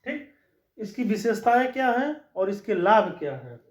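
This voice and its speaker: Man, law teacher, high voice, repeats key points, echoey room. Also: sing-song, normal speed. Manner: explanatory, repeats key points, frequent "ठीक" check-ins. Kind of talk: classroom-style lecture. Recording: echoey room, dull lo-fi sound.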